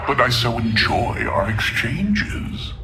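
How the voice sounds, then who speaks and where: A man voicing a proud, thoughtful robotic alien warrior in a video game, with formal, deliberate diction. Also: mid-range voice, sing-song, noisy background. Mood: thoughtful, dignified. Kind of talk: dramatic video game acting.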